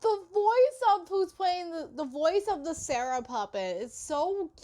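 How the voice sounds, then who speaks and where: High-pitched woman reacting casually. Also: expressive, unhurried, mellow. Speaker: host reacting to a video.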